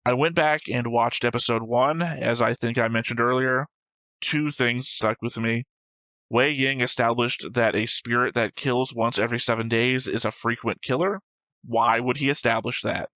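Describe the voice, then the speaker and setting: A man's voice: mid-range voice, fairly even intonation, moderate pace, bright, forceful tone, no echo, rough recording. A man co-hosting an anime show in casual, opinionated discussion, argumentative and emphatic, swearing freely. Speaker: co-host of an anime reaction show.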